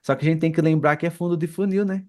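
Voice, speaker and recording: A Brazilian man with a slightly high voice co-hosts a podcast. He talks casually, posing questions and walking through his points in a conversational way. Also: steady tone, quick pace, a little mellow. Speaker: podcast co-host. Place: remote video call.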